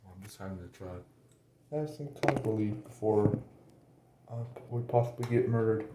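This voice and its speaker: A man's voice: fairly low pitch, normal speed, heavy background noise. Man chatting casually in an echoey bathroom. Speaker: vlogger. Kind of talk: casual joking chat with bits of singing. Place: small bathroom indoors. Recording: roomy echoey bathroom, music behind.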